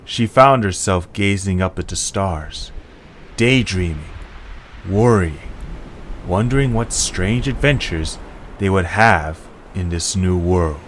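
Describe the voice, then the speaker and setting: A man's voice: low voice, animated, takes it slowly, a little hiss or hum. A man reading scripted story narration as the narrator of an audio drama.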